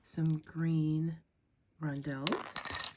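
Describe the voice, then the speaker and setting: A young woman with a cold and a sniffly voice, chatting casually as she unboxes craft supplies, gushing with drawn-out "ooh"s and "um"s. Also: sits low, flat delivery, pushed and bright, slight background noise. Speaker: crafting vlogger.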